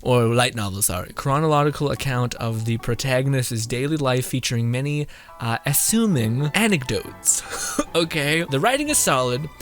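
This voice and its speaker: A man's voice: medium pitch, animated, normal speed, a bit bright. A young man doing casual, jokey game commentary and reading text aloud, with game music behind. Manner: giggly, informal, jokey. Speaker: game streamer.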